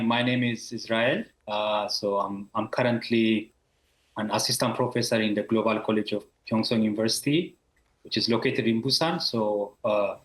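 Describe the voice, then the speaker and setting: A man's voice: lowish voice, fairly bright tone, echoey room, rough recording. A man from Ethiopia, a university professor in his thirties, answering interview questions over a video call with many fillers and restarts.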